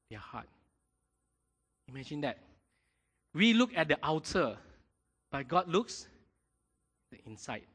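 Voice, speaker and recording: A man preaching a sermon in a lively way, often checking in with his congregation. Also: fairly high pitch. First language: not Mandarin. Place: church hall. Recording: long hall reverb.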